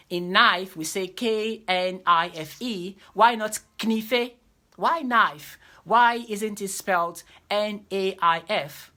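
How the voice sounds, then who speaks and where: A woman lectures on teaching diction, her voice bright and somewhat low-pitched. She repeats key phrases and slows down to stress her points, like a teacher walking a class through a lesson.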